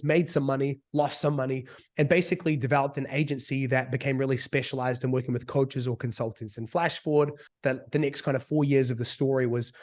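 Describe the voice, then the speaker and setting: A man in his thirties with a New Zealand accent, a little sick and husky, talking slowly and deliberately about his path in business. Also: a little high. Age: 30s. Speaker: business coach. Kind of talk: casual talk as a guest.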